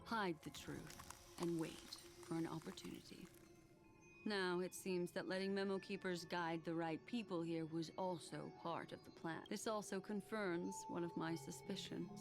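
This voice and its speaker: An actress playing a composed, scholarly, enigmatic memory keeper in a video game, speaking in a measured, formal way. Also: female voice, on the low side, steady tone, over music, lightly acted. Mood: grave, measured. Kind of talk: scripted video game dialogue.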